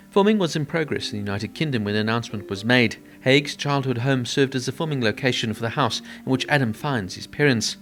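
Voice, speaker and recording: A man narrating a scripted film review and trivia, in an even, measured delivery. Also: mid-range voice.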